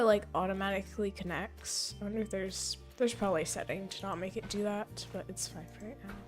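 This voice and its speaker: A young woman streaming a zoo-building game, thinking aloud and correcting herself as she goes, her voice slightly hoarse and fading. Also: medium pitch. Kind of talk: casual gameplay commentary.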